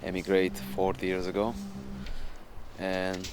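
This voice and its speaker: Young man, 20s, low monotone volunteer interviewee. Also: measured pace, background noise. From Italy. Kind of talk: interview answers.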